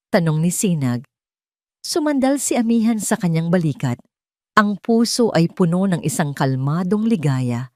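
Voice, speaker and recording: Low-pitched woman narrating, dry sound. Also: a little mellow, dry recording. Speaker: storyteller and host of a story channel. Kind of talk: scripted audio story narration.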